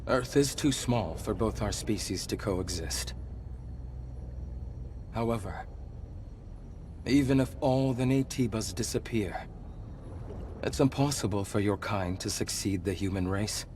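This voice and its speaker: An actor playing an ancient monster leader who was once human, speaking gravely, with measured, earnest persuasion in a video game cutscene. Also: male voice, on the low side, darkish tone, slight background noise, close to an everyday voice. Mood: persuasive. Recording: background music under the voice.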